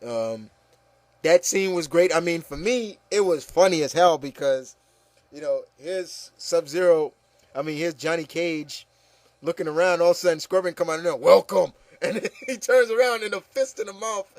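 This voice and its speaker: A man's voice: on the high side, moderate pace, darkish tone, no echo. A man hosting a casual panel chat about movies, talking in a rambling, enthusiastic way and often saying "you know". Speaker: host of an opinion show.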